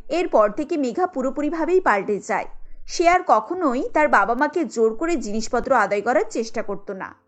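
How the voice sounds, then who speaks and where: A woman's voice: high-pitched, lively intonation, normal speed. A woman reading scripted narration for a cartoon family story.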